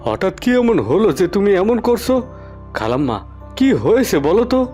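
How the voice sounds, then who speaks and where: A man plays a grown son in a cartoon, earnest and questioning. His voice is fairly high and swings widely in pitch, with music behind it. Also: very animated, normal speed, dark tone. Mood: puzzled. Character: adult married son, earnest, questioning, reproachful. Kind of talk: Bengali moral-tale cartoon dubbing.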